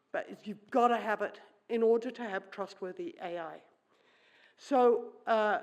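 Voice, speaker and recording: A woman's voice: a little high, a little mellow. A middle-aged woman who grew up in New Zealand and whose first language is Swedish, giving a conference keynote in a wry, conversational manner and thinking aloud with many fillers. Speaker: keynote speaker.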